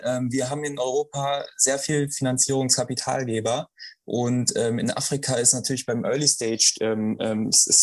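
Man, twenties, startup founder, interview guest, hesitant, lots of fillers, mid pitch. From Germany. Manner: hesitant, many fillers and restarts. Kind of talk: conversational interview answers.

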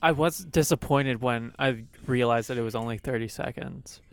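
A man's voice: medium pitch, moderate pace, clean tone. A man co-hosting a casual album review podcast, speaking bluntly, swearing casually and laughing easily. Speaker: podcast co-host.

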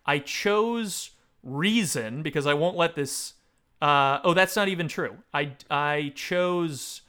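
A man's voice: fairly high pitch, takes it slowly, a bit bright, clean tone. A man chatting casually about a book as a podcast co-host, joking and self-deprecating. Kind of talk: casual book discussion with read-aloud passages.

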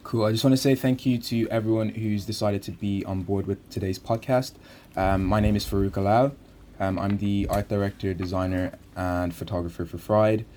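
Deep-voiced young podcast host. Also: male voice, fairly even intonation, mellow, a little hiss or hum. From Ireland. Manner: measured, with frequent "um" fillers. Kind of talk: podcast introduction and moderation.

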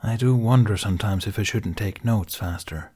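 A man's voice: on the low side, moderate pace, warm tone, slightly rough. A man voicing a grown male Jedi knight who answers politely but evasively. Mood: guarded. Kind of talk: audiobook character voice.

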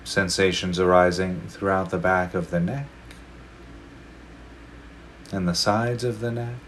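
A man leading a guided meditation, speaking slowly and gently with long pauses between phrases. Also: sits low, fairly even intonation, a little hiss or hum. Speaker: meditation teacher.

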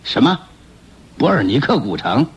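An actor playing a ruthless, scheming, hot-tempered gang boss with a thick, hoarse voice, barking orders in a radio play. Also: male voice, medium pitch, very animated, moderate pace, full character voice. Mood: scheming, demanding. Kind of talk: theatrical radio drama acting. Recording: muffled lo-fi radio drama recording.

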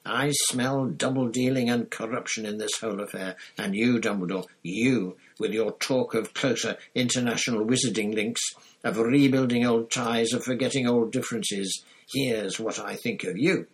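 A man voicing an agitated, suspicious foreign headmaster who declaims loudly and accusingly in a storybook reading. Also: mid-range voice, fairly even intonation, moderate pace, slightly rough, pitched above the performer's own voice. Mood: livid, accusing.

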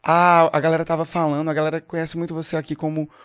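A young man from Pernambuco with a Pernambuco Portuguese accent, a singer chatting as a guest on a podcast in an animated, storytelling way. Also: fairly high pitch, fast talker, smooth. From Pernambuco, Brazil. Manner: animated, storytelling, quotes people. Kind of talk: casual podcast chat.

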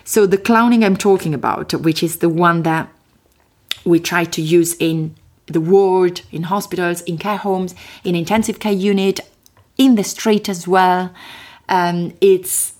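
An Italian-accented woman with a somewhat low voice talks expressively as a podcast guest. Her phrases come in quick bursts broken by small hesitations as she searches for English words. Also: a little mellow. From Italy. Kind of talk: casual interview talk.

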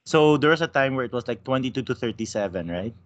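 A man's voice: medium pitch, quick pace. A man from the Philippines chatting casually, teasing his co-host and cutting in with questions. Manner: teasing, animated, interrupts with questions. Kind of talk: casual chat. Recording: dull lo-fi sound.

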